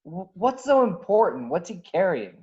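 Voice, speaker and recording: Brief: cocky mercenary space pilot played by a woman, lowish voice, very lively swings, breezy and teasing. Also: moderate pace, lo-fi sound. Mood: dismissive. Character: cocky, self-interested young male smuggler pilot. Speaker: actor in a table read. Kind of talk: virtual script table read.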